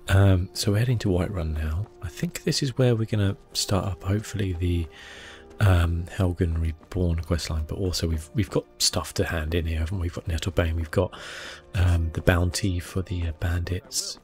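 A man giving relaxed ASMR commentary on a game, understated and hesitant as he thinks aloud close to the microphone. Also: deep voice, moderate pace, faint music behind. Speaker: gaming video host.

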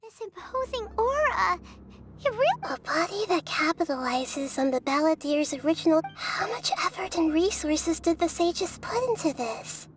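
Childlike goddess of wisdom, extremely high pitch, measured, analytical, anime game character, music behind. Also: female voice, animated. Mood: awed, analytical. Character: small, childlike girl god of wisdom, calm and thoughtful. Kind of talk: anime-style video game acting.

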